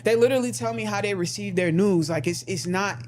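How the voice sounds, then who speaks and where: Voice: mid-range voice, brisk, a little mellow, slight background noise. A co-host in a casual stream discussion, talking in a rambling way with many ums and restarts. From United States.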